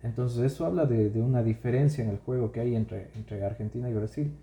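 A man's voice: medium pitch, fairly quick, reverberant. A man from Ecuador co-hosting a podcast, chatting casually and leading the talk with questions. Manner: relaxed, asks questions and leads the talk. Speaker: podcast co-host. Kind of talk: casual chat.

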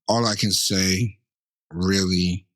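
A man's voice: deep voice, fairly even intonation, very slow, a bit bright. A man with a lisp and a stutter, chatting casually and emphatically on a podcast, full of "you know" fillers.

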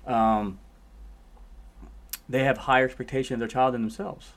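A man's voice: medium pitch, fairly even intonation, moderate pace. A man who teaches martial arts, chatting on a podcast and coaching parents with questions. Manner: coaching, asks questions to make a point. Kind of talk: casual podcast chat.

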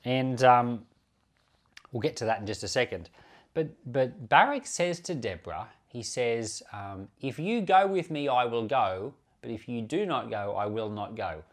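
A man's voice: medium pitch, animated, a little slow. A man speaking as a pastor on a Bible podcast, explaining scripture in an informal, unscripted way with self-corrections and asides. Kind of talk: informal Bible commentary, teaching.

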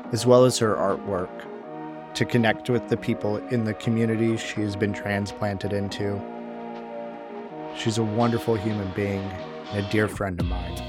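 Low-voiced male podcast host over music. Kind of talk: scripted podcast intro.

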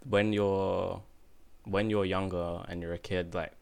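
A man's voice: low-pitched, little pitch movement, measured pace, creaky, darkish tone, clean tone. A man in his twenties hosting a podcast, chatting casually with lots of fillers and restarts. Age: 20s. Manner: rambling, many fillers and restarts. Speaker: podcast host. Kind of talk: casual chat.

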